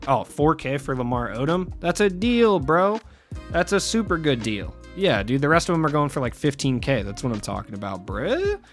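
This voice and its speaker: A young man with a worn, scratchy voice chats offhandedly through game trading tips, wandering off mid-thought now and then. His pitch sits in an ordinary middle range, and faint music plays quietly underneath. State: scratchy voice after a long recording session. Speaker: gaming channel host. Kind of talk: casual game market commentary.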